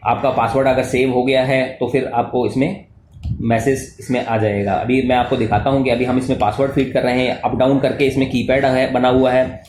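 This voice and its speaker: An Indian man with a mid-pitched voice presents a hands-on tech tutorial. He explains each step off the cuff, haltingly, often repeating phrases and dropping in English terms. The room rings with echo, and there is faint noise behind him. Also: a little mellow. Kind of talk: unscripted step-by-step product demonstration.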